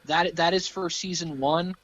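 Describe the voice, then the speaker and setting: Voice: mid-range voice, steady tone, moderate pace, a bit bright, lo-fi sound. A player on a tabletop podcast chatting, stammering and laughing while talking. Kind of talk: casual chat.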